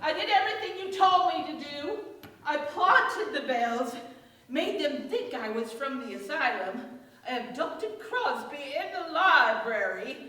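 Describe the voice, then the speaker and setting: A woman's voice: high-pitched, big pitch swings, measured pace, sharp tone, heavily acted. A woman playing a firm, scheming female accomplice in a staged radio play, speaking with insistent command. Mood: defiant, bitter. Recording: very echoey, distant stage mic.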